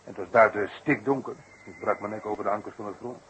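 A man's voice: lowish voice, steady tone, fast talker, fairly bright tone, lightly acted. A man playing a chatty, down-to-earth circus electrician in a Dutch radio drama, with colloquial, wordy speech. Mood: grumbling. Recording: faintly noisy 1960s radio recording.

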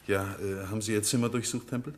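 Actor playing a low-voiced, brusque man in a vintage radio play, slightly off-mic. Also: fairly even intonation, fast, natural voice. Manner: brusque, impatient. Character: Mr Franz, brusque impatient male guest. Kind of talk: 1950s radio play acting.

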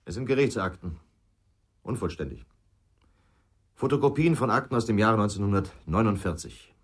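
A man's voice: lowish voice, animated, moderate pace, husky. A man playing a middle-aged ex-policeman in a radio drama, speaking in a terse, grave, brooding way. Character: brooding middle-aged male ex-policeman haunted by his father. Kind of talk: naturalistic radio drama acting.

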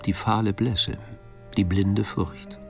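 A man narrating a radio crime play in a measured, grave literary style. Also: fairly low pitch, fairly even intonation, creaky, music in the background.

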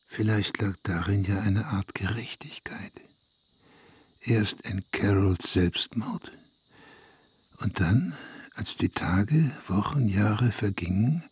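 An actor playing a brooding, reflective man who narrates a literary radio play in a deliberate, measured, intimate manner. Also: mid-range voice, gravelly, darkish tone, breathy edge, no room sound, full character voice. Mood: hushed, grave. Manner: deliberate, measured, intimate, at times dropping near a whisper. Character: brooding, reflective man recounting a small-town tragedy. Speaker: actor narrating a character's story. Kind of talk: German radio play monologue, literary first-person narration.

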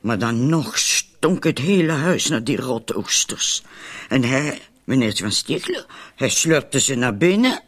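An actor playing a wary, needling woman in a radio drama, with a husky voice. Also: medium pitch, very animated, measured pace. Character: wary, needling woman named Marilyn, accomplice in poisonings.

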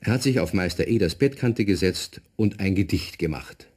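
A man playing the genial narrator of a children's radio play, telling the story in an expressive way. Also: sits low, moderate pace, husky. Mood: genial, amused.

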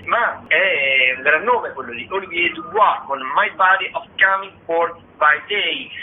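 A man hosting a radio show, talking through arts listings in a conversational, halting, digressive way, with frequent hesitations. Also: on the high side, slight background noise. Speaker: radio theatre show host. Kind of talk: conversational radio listings of theatre and dance shows. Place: radio broadcast. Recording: slightly band-limited, phone-like radio sound.

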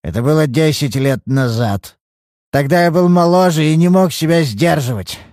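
A shrill, quavering old man, as a male narrator puts him on, self-pitying and quick to anger. The voice sits well above the reader's own and swings widely in pitch. It sounds close and dry, with no room around it. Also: big pitch swings, a little mellow, no room sound. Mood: whining.

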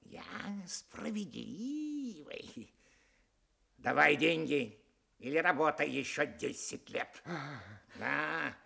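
An actor playing a greedy, cunning rich master in a fairy-tale audio play, with a sly, mocking, gloating manner. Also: male voice, on the high side, very animated, very slow, creaky, pauses often, bright, forceful tone, heavily acted. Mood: gloating, pressing. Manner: sly, mocking, chuckling to himself, swooping delivery.

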